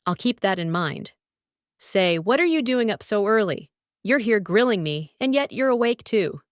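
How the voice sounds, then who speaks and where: Woman acting as female warplane, war veteran, soft-hearted, somber, confessional, animated drama, very dry sound. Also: mid-range voice, moderate pace, no echo, close to an everyday voice. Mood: subdued, grateful.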